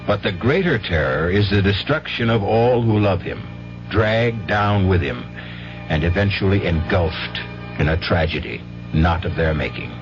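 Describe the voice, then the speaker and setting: Deep, solemn male radio host. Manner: grave, solemn. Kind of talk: dramatic scripted narration. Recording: muffled 1970s radio broadcast.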